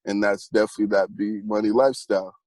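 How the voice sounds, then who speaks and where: Low flat-voiced man, halting podcast talk. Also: dry recording. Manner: halting, many fillers and restarts. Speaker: podcast co-host. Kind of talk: casual sports podcast chat.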